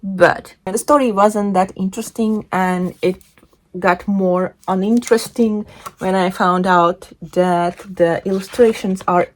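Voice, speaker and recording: A woman's voice: medium pitch, steady tone, measured pace. Woman chatting casually about books. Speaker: book reviewer and video host. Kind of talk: casual chat to camera, book talk.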